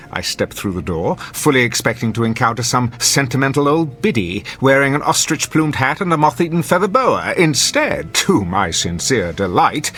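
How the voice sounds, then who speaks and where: An actor playing an arrogant, cynical drama critic in a radio drama, haughty and articulate. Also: male voice, mid-range voice, a bit bright.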